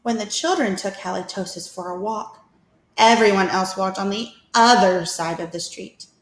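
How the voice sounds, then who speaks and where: A woman reading a picture book aloud to children as a teacher, with expressive, deliberate storytelling. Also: medium pitch. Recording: echoey room.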